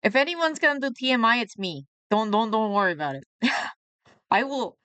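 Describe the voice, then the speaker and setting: A woman's voice: on the high side, animated, a little fast, bright, forceful tone, smooth, no echo. A young woman streaming live, chatting casually about herself and reacting to a video, often laughing and poking fun at herself.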